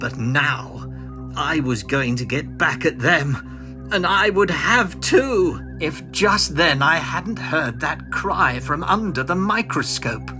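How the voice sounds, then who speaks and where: Bitter, swooping mad little scientist. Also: male voice, fairly high pitch, lively intonation, over music. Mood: bitter resentment. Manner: agitated, pleading, melodramatic, halting. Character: small, bitter, frantic mad scientist, male professor. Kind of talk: dramatic vintage comic audio drama.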